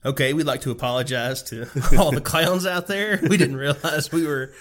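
A man co-hosting a podcast, trading quick jokes in casual chat while nursing a head cold. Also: on the high side, fairly bright tone, soft background music. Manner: joking, quick with one-liners. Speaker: podcast co-host.

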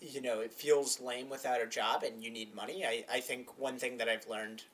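A man's voice: mid-range voice, steady tone, a bit bright, distant mic. A man in his mid-twenties co-hosting a podcast, easygoing and agreeable in casual chat. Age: 20s. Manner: easygoing, agreeable, quick to say yeah. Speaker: podcast co-host.

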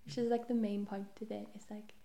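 Young woman for a guest spot: warm, slightly fried voice, casual and chatty, in a roomy space. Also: medium pitch, level tone, a little fast, gravelly. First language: Welsh. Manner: chatty, self-deprecating, often trails into soft asides. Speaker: podcast guest. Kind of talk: casual chat. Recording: roomy echo.

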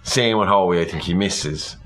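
A man's voice: sits low, moderate pace, fairly bright tone. A man hosting a casual chat, retelling a story in a rambling, irreverent way with humorous asides and some swearing. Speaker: podcast host.

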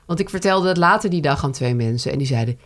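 A woman's voice: sits low, lively intonation, quick pace. A woman co-hosting a podcast, telling a story in casual chat with many fillers and restarts. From Netherlands. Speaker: podcast co-host.

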